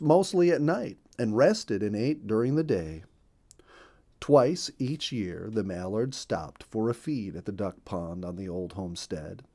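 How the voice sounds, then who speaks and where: A man reading a children's story as storyteller, expressive and placing deliberate emphasis on key words. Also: on the low side.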